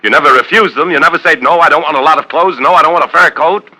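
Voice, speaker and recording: An actor playing an ambitious, tormented, possessive husband in a 1950s radio suspense drama, with brooding, intense, clipped delivery. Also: male voice, fairly high pitch, fast, bright, forceful tone, low-quality recording. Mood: enraged. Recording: old 1950s radio recording with music.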